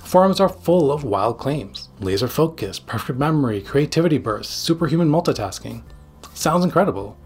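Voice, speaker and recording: Husky man giving a dramatic explainer. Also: fairly high pitch, expressive, moderate pace, a little mellow. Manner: emphatic, dramatic phrasing. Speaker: psychiatrist and video host. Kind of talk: scripted explainer narration to camera. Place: small home studio room. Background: background music.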